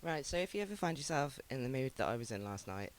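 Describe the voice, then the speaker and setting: Male host, ill and hoarse-low, rambly with lots of ums, a little hiss behind. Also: very animated, fast. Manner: rambling, hesitant, frequent ums. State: ill, voice lowered by sickness. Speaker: music show host. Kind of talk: casual chat introducing songs.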